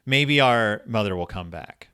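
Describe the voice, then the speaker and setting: A man's voice: fairly low pitch, animated, a little slow, pushed and bright, smooth, no room sound, studio quality. A man voicing anxious, pleading little children from a fairy tale in a plaintive manner. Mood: anxious, hopeful.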